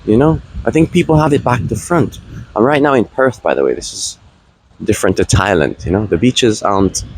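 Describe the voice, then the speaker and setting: A man's voice: lowish voice, lively intonation, fairly quick, slightly rough, dry recording, rough recording. A man in his late twenties talking casually to the camera in a rambling vlog, restarting his sentences and laughing. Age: 20s. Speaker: vlogger. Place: outdoors at a beach.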